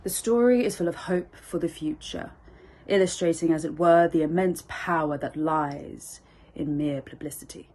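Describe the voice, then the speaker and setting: A woman's voice: sits low, moderate pace, darkish tone. A woman formally reading out old newspaper text. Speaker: reader of newspaper cuttings.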